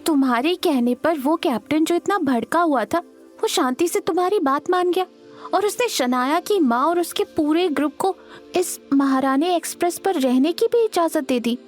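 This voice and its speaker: A woman's voice: sits high, normal speed, soft background music, lightly acted. A woman playing a tender, earnest young wife in an audio drama, with an emotional, pleading manner. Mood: puzzled, pleading.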